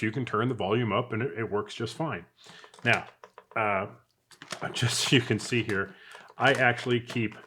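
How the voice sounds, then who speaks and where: A man with a medium-pitched voice talks through a product review. He is casual and unscripted, chatting as he goes and thinking out loud in a conversational, everyday way. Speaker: product reviewer, channel host.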